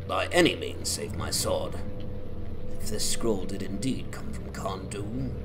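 A man voicing a proud, loyal elf warrior in a fantasy game dramatization, formal, earnest and resolute. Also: mid-range voice. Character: proud, loyal male elf warrior who scorns orcs. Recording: voice over music.

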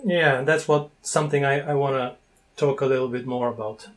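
A man from Bulgaria in his forties, chatting on a video call through an earphone mic, playful and teasing, pausing to search for words. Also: medium pitch, steady tone. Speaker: language learner interviewing a tutor. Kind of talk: casual video-call chat. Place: small room indoors with wooden doors. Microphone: wired earphone mic on a video call.

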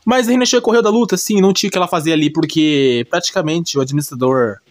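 Brazilian man, somewhat high pitch, casual commentary, colloquial, repetitive, check-in tags, dry sound. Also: quick pace, dry recording. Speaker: video creator narrating an anime recap. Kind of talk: casual unscripted commentary, retelling a story.

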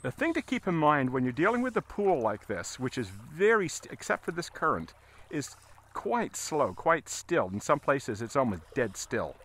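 A man giving a casual, halting fly fishing tutorial as an instructor, talking while he works outdoors. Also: medium pitch, very animated, fairly bright tone, slightly rough. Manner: halting, explaining as he casts. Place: outdoors, standing in a river. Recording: outdoor recording with water noise. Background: running water.

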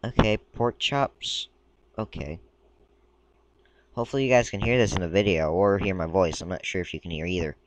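Halting young-sounding man, a bit sick. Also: low voice, a little hiss or hum, poor audio. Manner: rambling, halting, reads aloud with stumbles. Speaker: gaming video creator. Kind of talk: casual screen-recording commentary.

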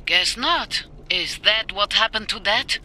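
A woman's voice: mid-range voice, unhurried, sharp tone, slight background noise. An actress playing a cheeky, plucky young woman who works as a mech engineer in a video game. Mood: shaken. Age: young adult. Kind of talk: video game cutscene acting.

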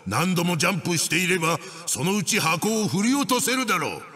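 Evil mask spirit from a racing game, pompous and bossy, sharp forceful voice going up and down a lot. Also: male voice, sits high, very animated, fairly quick, long reverb tail, faint music behind, exaggerated voice. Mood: instructing, bossy. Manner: commanding, archaic pompous phrasing. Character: evil wooden witch-doctor mask spirit, bossy and menacing. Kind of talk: video game character voice-over, giving racing instructions.

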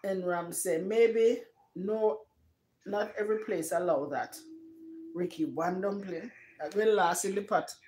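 A woman's voice: mid-range voice, a little slow, a little mellow, slight background noise. A middle-aged woman with a Jamaican accent casually hosting a live stream and reading out viewers' comments. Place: living room, indoors. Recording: roomy echo of a living room.

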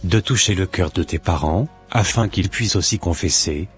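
A man voicing a calm, devout young suitor with a patient, measured manner in a scripted cartoon. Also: sits low, faint music behind, close to an everyday voice. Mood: calm, hopeful.

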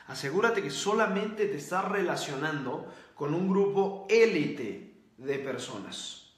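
A man with a high voice lectures viewers in a motivational livestream, hammering his points home by repeating them. His voice rings out in a roomy, echoing space. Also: fairly bright tone. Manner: preachy, repeats key points. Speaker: host. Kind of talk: motivational livestream talk, reading comments.

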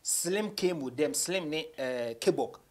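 A man's voice: on the high side, animated, unhurried. A man in his thirties with a Ghanaian accent, telling stories casually as an interview guest, switching between English and Twi. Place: small indoor studio.

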